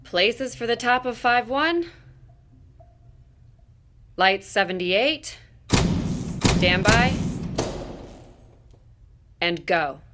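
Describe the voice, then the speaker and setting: Slow-calling stage manager. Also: female voice, fairly high pitch, expressive, a bit bright, a little hiss or hum, heavily acted. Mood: businesslike. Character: businesslike female stage manager calling cues. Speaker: actor. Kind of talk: radio drama acting.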